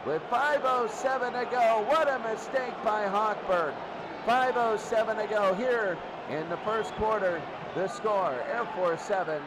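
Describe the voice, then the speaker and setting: A man's voice: high voice, a little slow. A man doing live American football play-by-play as the announcer, in short clipped bursts that often repeat. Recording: very noisy ambient amateur recording.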